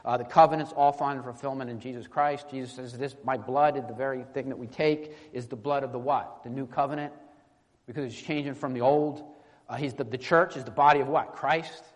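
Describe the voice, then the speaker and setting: A man preaching an informal, teaching-style sermon in a halting, conversational way, often checking in with short tag questions. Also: medium pitch, fairly even intonation. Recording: echoey hall with long reverb.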